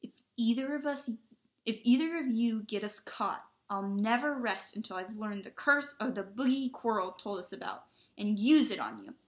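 A woman's voice: medium pitch, fairly even intonation, moderate pace, hardly any pauses, reverberant, lightly acted. A woman voicing a hot-tempered, grumbling schoolboy in a curt, irritated way while reading a storybook. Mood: furious.

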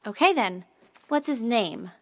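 An actress playing a stubborn, self-doubting young starship ensign in an audio drama, with a casual, blunt, questioning way of talking. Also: female voice, a little high, animated, a little slow, a bit bright, no echo. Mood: stunned, questioning.

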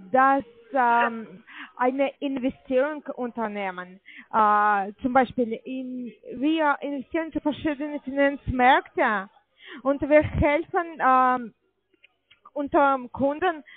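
A woman with a foreign accent, an account manager giving a hesitant, halting sales pitch in broken sentences. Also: expressive, no room sound. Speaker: call center account manager. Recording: band-limited phone-call audio.

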